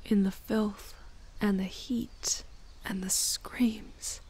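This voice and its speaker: A woman playing a proud, ancient demoness in an ASMR audio roleplay, with a soft breathy voice and formal, theatrical phrasing. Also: mid-range voice, steady tone, very slow. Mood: soft, pleading. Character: proud ancient demoness, long imprisoned, yearning for freedom.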